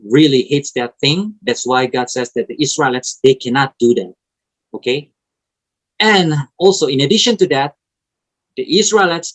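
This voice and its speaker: A man with an Indonesian accent, a native Indonesian speaker, teaching a Bible lesson to an online fellowship in a halting way, with restarts and frequent "right?". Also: mid-range voice, rough recording. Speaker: preacher.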